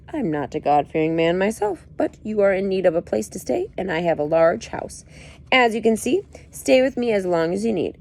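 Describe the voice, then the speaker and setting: Woman reading a jovial English gentleman, hearty, skeptical, read-aloud storybook. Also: medium pitch, vocal fry.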